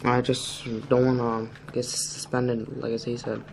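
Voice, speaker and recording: A defensive high school boy acted by a male student in a school dramatization. His speech is casual and repetitive, in a mid-low young male voice with little variation. Light ambient noise sits behind him. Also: monotone, slow pace, creaky. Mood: resigned. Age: teenager. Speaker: student actor in a peer mediation dramatization. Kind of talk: acted school role-play dialogue. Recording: noisy room ambience.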